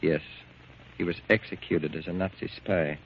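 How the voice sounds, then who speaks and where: An old, muffled radio drama recording with light hiss. a deep-voiced, cool and grave man who delivers grim news deliberately, with quiet insinuation. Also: steady tone. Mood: cold, grave. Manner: measured, deliberate, coolly insinuating. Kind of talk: 1940s radio drama acting.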